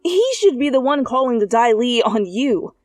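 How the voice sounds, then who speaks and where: A woman narrating a second-person fan fiction story in a playful, conversational way, with animated asides. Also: a little high, moderate pace, dry recording, faint music behind. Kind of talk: expressive second-person story narration, ASMR fanfic reading.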